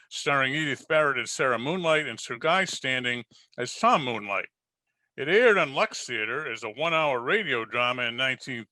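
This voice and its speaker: A man's voice: medium pitch, bright, forceful tone, no room sound. A man delivering scripted narration as an old-time radio host, formal and theatrical as he presents a play.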